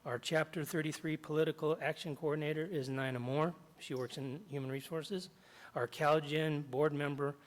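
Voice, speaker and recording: A middle-aged man, slightly hoarse after jaw surgery, reading prepared remarks at a podium in a large meeting hall and stopping often to clear his throat. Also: mid-range voice. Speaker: union chapter president. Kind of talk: public comment at a board meeting. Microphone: podium microphone.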